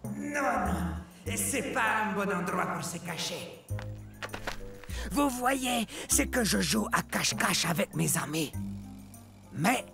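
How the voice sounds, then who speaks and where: A slow, gravelly cartoon voice for an enormous gentle dinosaur. The creature is hapless and apologetic, confiding sheepishly in young viewers over background music. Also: medium pitch, expressive. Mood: sheepish, secretive. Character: huge, gentle, hapless long-necked Argentinosaurus, apologetic and hungry. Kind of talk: children's educational cartoon monologue.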